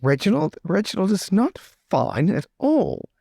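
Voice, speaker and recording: A man's voice: high-pitched, huge pitch swings, unhurried, gravelly, warm tone, breathy edge, no echo, exaggerated voice. A man playing a cocky, easily distracted lord in a role-play drama, pompous and stammering as he defends himself. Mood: smug, defensive.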